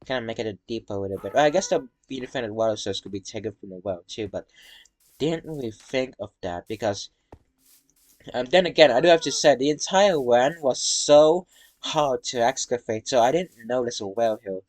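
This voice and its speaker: Tired, rambling young man narrating a tour. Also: medium pitch. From Indonesia. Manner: halting, rambling, many fillers and restarts. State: tired from talking. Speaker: Minecraft world tour vlogger. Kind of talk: casual gameplay walkthrough narration.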